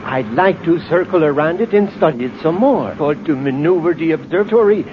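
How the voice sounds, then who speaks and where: Guy doing a excitable professor, high animated voice, formal and kinda hesitant, old noisy radio drama. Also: brisk, natural voice. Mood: grave, fascinated. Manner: hesitant, precise, formal, awed by discovery. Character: excitable male professor, astronomer and mathematician. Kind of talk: 1950s radio drama acting. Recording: dull, noisy vintage radio recording.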